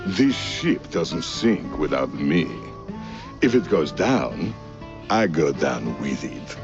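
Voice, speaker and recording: A man voicing a villainous mouse ship captain in a cartoon game, theatrical, gravelly, mocking and threatening. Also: a bass voice, sing-song, takes it slowly, exaggerated voice. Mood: menacing. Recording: muffled, with guitar music behind.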